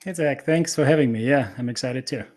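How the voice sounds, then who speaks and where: Middle-aged man, mid-pitched. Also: fairly quick, a little mellow. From Austria. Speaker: interview guest, cybersecurity instructor. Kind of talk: casual conversational interview answers.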